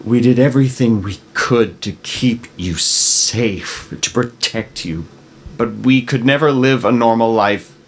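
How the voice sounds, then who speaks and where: A guilt-ridden father played by a man at a table read speaks slowly in a mid-range voice. He is halting and remorseful, pleading and evasive as he carries a terrible secret in this screenplay drama. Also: mid-range voice, slight background noise, natural voice. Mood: hesitant, confessing. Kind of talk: dramatic script reading.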